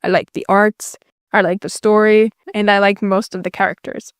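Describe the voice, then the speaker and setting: A woman's voice: mid-range voice, level tone, normal speed, clean tone, dry recording. A young woman from Finland, a native Finnish speaker, answering viewer questions in a casual voice-over with dry, self-deprecating jokes. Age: young adult. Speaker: YouTuber.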